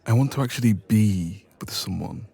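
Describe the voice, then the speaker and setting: Man acting as affectionate young male best friend for romance audio roleplay; dark, relaxed, lowish voice, hesitant, intimate, gently teasing. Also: normal speed, gravelly, husky. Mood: nervous, tender.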